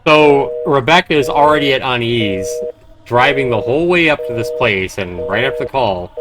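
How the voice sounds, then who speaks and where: A man livestreaming a horror game, reading dramatically and commenting casually, with loud game sound behind his voice. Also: mid-range voice, noisy background. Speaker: game streamer. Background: horror game audio.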